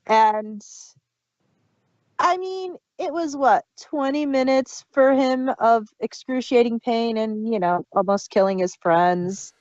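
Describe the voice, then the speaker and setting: A woman's voice: on the high side, slow pace, a little mellow, no room sound, dull audio. A woman co-hosting a podcast, talking casually as a fan in discussion. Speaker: podcast co-host.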